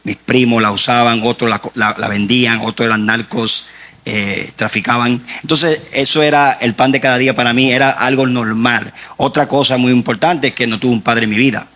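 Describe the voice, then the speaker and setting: A man from Puerto Rico with a Puerto Rican accent, a guest author giving his personal faith testimony in an earnest, halting way. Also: mid-range voice, fairly even intonation, pushed and bright.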